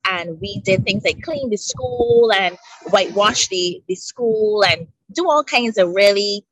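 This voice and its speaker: A woman's voice: mid-range voice, expressive, measured pace, dry recording, poor audio. A woman in her thirties from Barbados, a podcast guest telling earnest stories about her childhood, recorded outdoors by the ocean. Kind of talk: relaxed interview chat. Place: outdoors by the sea.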